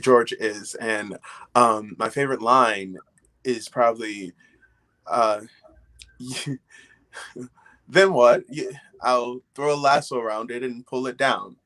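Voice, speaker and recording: A man's voice: medium pitch, steady tone, slow pace, long pauses, fairly bright tone, rough recording. A young man chatting casually and reflectively as a panelist on a video call from home. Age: young adult.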